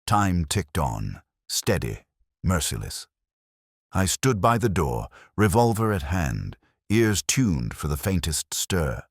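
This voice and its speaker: Very deep male story narrator. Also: sing-song, slow, no room sound, clean studio sound. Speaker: audiobook narrator. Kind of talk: scripted first-person detective fiction narration.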